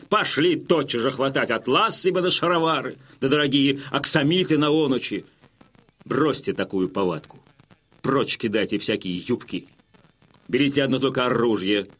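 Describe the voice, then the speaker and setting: A man's voice: medium pitch, close to an everyday voice. An actor playing a stern Cossack chief in a radio play, addressing his men with authoritative, folksy oratory. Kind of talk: radio play acting, rousing military address.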